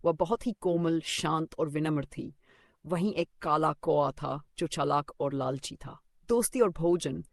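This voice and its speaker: Kids story narrator, mid pitch, kinda creaky, really dry recording. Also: measured pace, no room sound. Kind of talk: scripted children's story narration.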